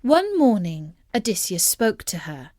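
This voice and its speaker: A woman telling a story as scripted narration, in an expressive and deliberate manner. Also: medium pitch, no room sound, studio quality.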